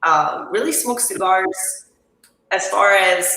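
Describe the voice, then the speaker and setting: Bright-voiced middle-aged woman in echoey room. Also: mid-range voice, takes it slowly. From Chile, grew up in Miami. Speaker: guest on a cigar show. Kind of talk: casual chat, personal storytelling. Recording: roomy echo, distant from mic.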